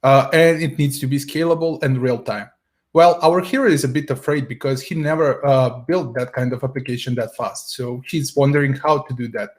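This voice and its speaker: A man's voice: mid-range voice, normal speed. Hesitant man telling a tech story. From Serbia. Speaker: conference speaker. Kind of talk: tech conference presentation. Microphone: video call.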